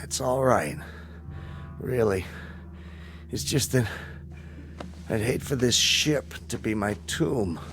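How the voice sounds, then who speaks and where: An actor playing a determined, idealistic injured astronaut in a radio-style drama, earnest and persuasive. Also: male voice, mid-range voice, animated, slow, pauses often, background noise. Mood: weary, earnest. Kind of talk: dramatic radio-play acting. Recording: music playing behind the voice.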